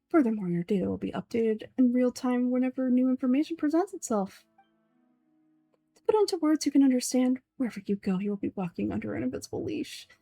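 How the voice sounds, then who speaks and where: Lady doing cold pompous old villain sage guy for a game, talks formal n condescending, voice on the dark side. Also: fairly high pitch, no echo. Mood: smug, menacing. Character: pompous, menacing old male grand sage, coldly calculating villain. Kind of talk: amateur voice-over of game dialogue.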